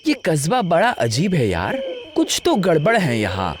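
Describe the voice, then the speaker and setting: A man performs an irritable young driver in an audio horror tale. The voice is high for a man and very lively in pitch. He talks bluntly and challenges people. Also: measured pace, loud music behind the voice. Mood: puzzled. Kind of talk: audio horror story acting.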